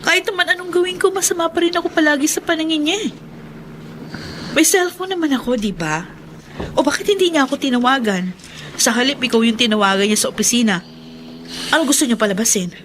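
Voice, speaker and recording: High-voiced, defiant wife. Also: female voice, lively intonation, fast, long pauses, a bit bright, a little hiss or hum. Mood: indignant. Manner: emotional, indignant. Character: hurt, defiant young wife standing her ground. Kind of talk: Tagalog radio drama acting.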